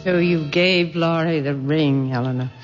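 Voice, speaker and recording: Radio villain: centuries-old ghost mother, cold, commanding, very slow and deliberate, muffled old broadcast. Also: sits low. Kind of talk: 1970s radio drama acting. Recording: dull vintage radio recording, music behind.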